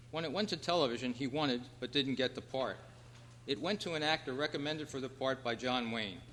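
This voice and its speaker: A man's voice: mid-range voice, fairly bright tone, slight background noise. A man hosting a live quiz and making club announcements to a room of people. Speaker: quiz host at a club meeting. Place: large meeting room at a convention. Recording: echoey hall, long reverb.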